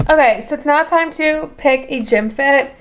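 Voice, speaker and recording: A woman's voice: sits high, moderate pace, a little hiss or hum. A woman in her twenties chatting casually in a vlog, bubbly and gushing, filming herself with a handheld camera at home and in her car. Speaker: lifestyle and fitness vlogger. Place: home kitchen and bedroom, later parked car. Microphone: handheld camera at arm's length.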